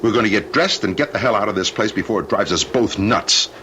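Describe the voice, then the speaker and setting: Deep-voiced, uneasy husband. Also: male voice, fast talker, sharp tone. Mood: exasperated, uneasy. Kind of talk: old-time radio drama acting. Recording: dull, noisy old radio recording.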